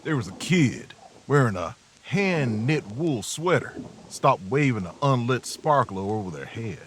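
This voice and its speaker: A man's voice: medium pitch, big pitch swings, measured pace, a little hiss or hum. A man acting in an audio drama as a weary, protective, middle-aged traveler, speaking in a grave, folksy storytelling way. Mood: haunted, grave. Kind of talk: audio drama acting and first-person narration.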